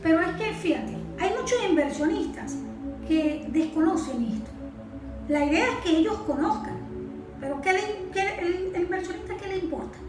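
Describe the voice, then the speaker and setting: A woman's voice: very high-pitched, lively intonation, moderate pace. A Venezuelan woman speaking as an interview guest, explaining in an informal, didactic way and often checking for agreement. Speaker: interview guest, environmental consultant. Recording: echoey room, distant mic, music behind.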